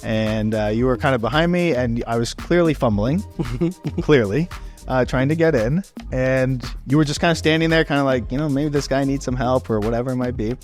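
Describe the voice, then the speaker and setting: A man's voice: mid-range voice, normal speed. Male podcast co-host from Ontario, chatty anecdotal storyteller, mid-range voice, light music bed. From Ontario, Canada. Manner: rambling, animated storyteller with fillers and laughs. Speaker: podcast co-host, real estate agent.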